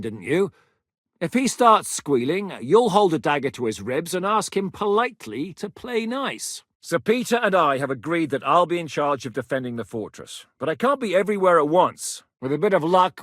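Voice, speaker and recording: An actor playing a shrewd, resolute medieval rebel captain who speaks plainly and with authority in a video game. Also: male voice, a little high, no echo, studio quality. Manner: authoritative, decisive, plain-spoken. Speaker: military leader planning operations. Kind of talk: video game dialogue acting.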